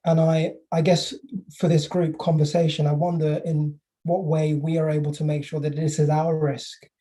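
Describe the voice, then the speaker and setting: Thoughtful man with a dark, flat voice. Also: fairly high pitch. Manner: measured and thoughtful, with pauses. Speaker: meeting participant. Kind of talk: reflective contribution to an online council meeting.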